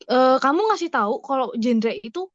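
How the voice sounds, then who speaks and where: A woman's voice: high-pitched, animated, moderate pace, dry recording, muffled recording. A woman in casual podcast conversation, putting questions and theories forward and switching into English phrases. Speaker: podcast co-host asking questions.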